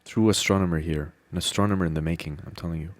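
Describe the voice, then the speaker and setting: Male podcast host, slightly low register, informal and teasing, casual conversational interviewing. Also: fairly even intonation, racing.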